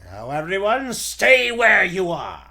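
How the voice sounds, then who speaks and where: A man voicing a gloating, cruel villain in a theatrical, sneering, drawn-out 1940s radio serial manner. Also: high-pitched, huge pitch swings, bright, forceful tone, exaggerated voice. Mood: gloating, menacing.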